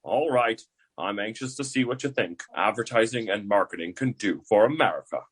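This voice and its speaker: A man's voice: on the low side, animated, brisk, a bit bright, slightly rough. A man playing an impatient, self-important president and client in a comic radio play, blustery and folksy.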